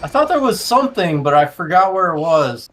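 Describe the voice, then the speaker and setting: A man's voice: high-pitched, sing-song, fairly quick, a bit bright, faint music behind. A man chatting casually on a game stream. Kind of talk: casual chat.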